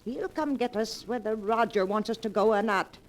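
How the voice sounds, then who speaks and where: A woman playing a doting, suspicious elderly lady in a dramatic, deliberate 1940s radio style. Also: fairly high pitch, close to an everyday voice. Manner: dramatic, deliberate, coos over her pet. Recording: noisy vintage 1940s radio recording.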